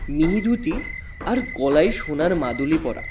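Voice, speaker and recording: A man's voice: on the high side, animated, normal speed, recorded from a distance, noisy background, natural voice. A man narrating a ghost story expressively in the first person as a runaway schoolboy. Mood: hushed suspense.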